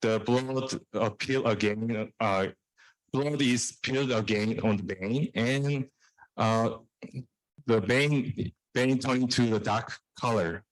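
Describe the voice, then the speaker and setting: A man giving a technical research presentation in a halting way, repeating and restarting phrases. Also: mid-range voice, dry recording, poor audio. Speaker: researcher presenting own work.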